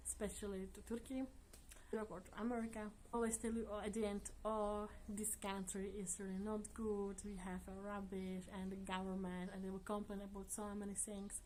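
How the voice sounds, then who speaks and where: A woman's voice: medium pitch, flat delivery, normal speed, slight background noise, low-quality recording. Chatty woman vlogger, non-native English. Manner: earnest, chatty, with frequent soft laughs. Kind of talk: casual chat to camera.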